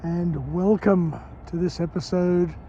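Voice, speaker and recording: A croaky-voiced man walks outdoors at dawn, filming a vlog as he goes. He speaks in short, slow phrases. The muffled recording picks up clear traffic noise around him. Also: sits high, steady tone, dark tone. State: hoarse, croaky voice. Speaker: vlogger. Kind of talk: walk-and-talk book summary vlog. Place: outdoors on a city street at dawn.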